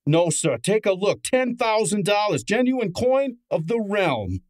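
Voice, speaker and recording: An actor playing a breezy, wisecracking detective's assistant in an old-time radio drama, with slangy, wry delivery. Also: male voice, high-pitched, sing-song, a little slow. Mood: wry, brisk.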